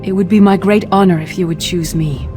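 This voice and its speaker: A woman's voice: on the low side, fairly even intonation, a little fast, over music, lightly acted. An actress playing a weary, brave female warrior in a fantasy game, earnest and plain-spoken. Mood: wistful, resolute.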